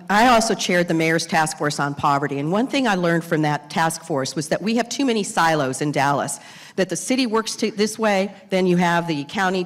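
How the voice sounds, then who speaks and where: Female candidate, lower register, debate answer, echoing hall sound. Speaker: mayoral candidate. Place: public forum hall. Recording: echoing hall with long reverb.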